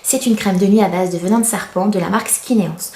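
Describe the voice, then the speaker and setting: A woman in her thirties talks to her viewers like a chatty beauty vlogger. Her voice is soft-edged and mellow, at a middling pitch. She is confiding and a little self-deprecating, and the room around her adds a clear echo. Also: a little fast. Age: 30s. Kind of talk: casual product review to camera. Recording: roomy, echoey indoor sound.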